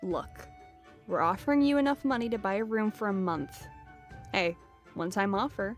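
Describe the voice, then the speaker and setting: A woman's voice: mid-range voice, soft background music, close to an everyday voice. A woman playing a brash, swaggering female adventurer who drives a hard bargain in a fantasy audio roleplay. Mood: shrewd, firm. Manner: bold, brisk, swaggering.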